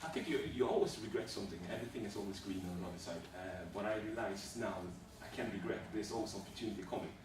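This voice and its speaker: A man from Sweden, answering interview questions haltingly, with many fillers and restarts. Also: lowish voice. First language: Swedish. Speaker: job applicant in a practice interview. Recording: echoey room, distant mic, background noise.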